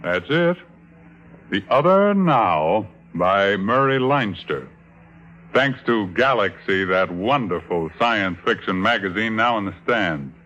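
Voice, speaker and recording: An actor playing a mysterious, theatrical host who announces science fiction tales deliberately on 1950s radio. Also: male voice, fairly low pitch, animated. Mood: portentous. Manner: dramatic, deliberate. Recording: dull 1950s radio with loud music.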